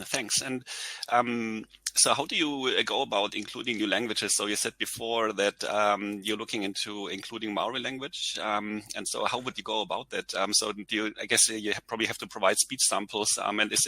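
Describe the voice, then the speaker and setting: A man with a German accent moderating a conference Q&A, reading out audience questions in a halting way. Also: lowish voice, pushed and bright, slightly rough, dry recording, rough recording. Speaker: session moderator.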